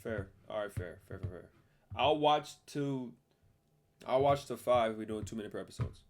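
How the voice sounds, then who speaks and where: Animated male streamer reacting casually. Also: on the low side, normal speed. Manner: slangy, exclamatory, repeats himself.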